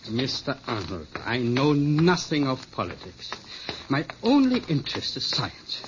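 A man's voice: mid-range voice, wildly swooping pitch, a little slow. A trusting elderly scientist in an old radio drama. His polite, hesitant speech rises and falls freely. The sound is muffled. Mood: courteous, eager. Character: courteous elderly European scientist, gentle and trusting. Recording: dull, faintly hissy old radio recording.

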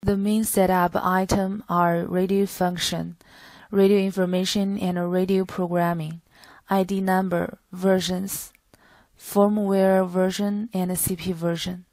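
Slow, monotone woman narrating a product guide. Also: fairly low pitch, dry recording. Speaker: product demonstrator. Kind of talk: scripted product tutorial narration.

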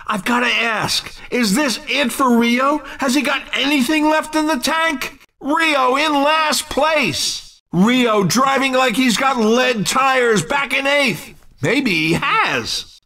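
A man voicing an excitable, cheeky racing video game commentator, dramatic, teasing and emphatic in scripted sports style. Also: very high-pitched, far from the mic, full character voice.

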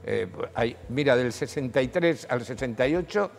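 Hombre mayor de voz rasposa, narrador de historia. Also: male voice, normal speed, long reverb tail, faint music behind. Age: older. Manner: animated storytelling, rises in pitch when emphasizing. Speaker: historian guest. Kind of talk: studio history discussion. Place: TV studio. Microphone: lapel.

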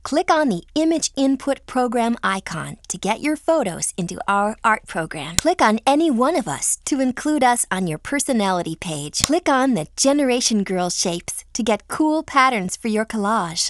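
An actress playing an upbeat, encouraging teenage girl who gives clear scripted instructions and praise in a game. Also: on the high side, slight background noise.

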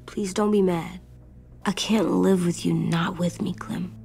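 Worried stubborn little kid, boy survivor in a video game, earnest and halting, croaky, music under. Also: fairly low pitch, fairly even intonation, a little mellow. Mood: pleading, defiant. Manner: earnest, halting, childlike phrasing. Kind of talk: dramatic video game acting.

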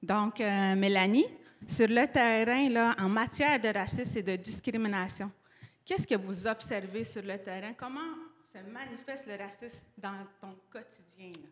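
A woman's voice: medium pitch, measured pace, long reverb tail. A woman moderating a panel, hesitant with many fillers, speaking into a handheld mic. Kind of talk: hosting a panel.

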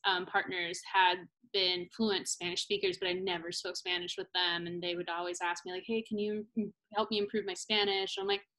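A woman's voice: lowish voice, level tone, fairly quick, pushed and bright, rough recording. A young woman interviewing a student over a webcam video call from a small room at home, asking friendly, rambling questions. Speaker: interviewer for language school. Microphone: laptop webcam on video call.

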